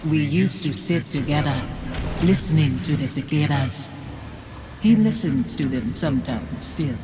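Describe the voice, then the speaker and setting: A woman playing the reproachful apparition of a commander's lost loved one in a video game, with measured, accusing, poetic delivery. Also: sits low, noisy background. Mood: taunting, wistful. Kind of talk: dramatic video game acting. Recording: dramatic music behind the voice. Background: game music.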